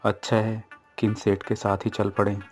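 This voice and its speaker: A man's voice: fairly low pitch, monotone, moderate pace, darkish tone, soft background music, close to an everyday voice. Man playing a humble, honest poor young man. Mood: resigned.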